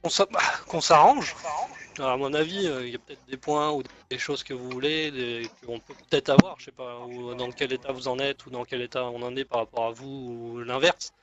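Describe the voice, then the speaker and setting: Through muffled game voice chat comes a man roleplaying a deferential gang negotiator. He rambles hesitantly and keeps a conciliatory tone, in a medium-pitched voice. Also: fairly even intonation, fairly quick, noisy background, lightly acted. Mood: earnest, conciliatory. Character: deferential male gang negotiator offering an alliance. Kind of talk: improvised online roleplay dialogue.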